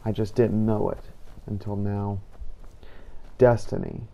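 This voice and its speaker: A man voicing a brooding, defiant fantasy hero, narrating his own game adventure in a deliberate, dramatic way. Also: fairly low pitch, steady tone, creaky, mellow. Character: brooding male dragon-slaying hero, weary and defiant. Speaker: role-playing gamer narrating as his character. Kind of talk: first-person in-character scripted narration. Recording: faint background noise.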